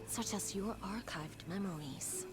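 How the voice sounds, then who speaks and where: A woman's voice: medium pitch, measured pace, very noisy, close to an everyday voice. An actress playing a poised, teasing and ominous otherworldly woman in a video game, with formal, archaic phrasing. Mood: composed, formal. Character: poised, teasing, ominous woman who travels between worlds. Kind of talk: video game cutscene acting. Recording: orchestral game music behind voice. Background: music.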